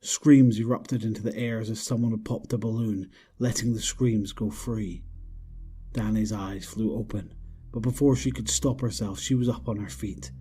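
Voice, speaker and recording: A man narrates a horror audio drama from a script. His voice is fairly low and dark in tone, over faint background music. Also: steady tone, moderate pace, slightly rough.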